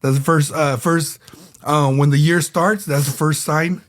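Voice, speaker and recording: A man co-hosting a casual podcast, relaxed and rambling, with lots of fillers and repeated phrases, a bit under the weather. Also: fairly high pitch, measured pace. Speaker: podcast co-host.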